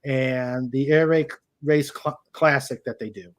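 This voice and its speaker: A man hosting a casual livestream, speaking in a halting way as he searches for words. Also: mid-range voice, steady tone. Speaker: host of a live-streamed show.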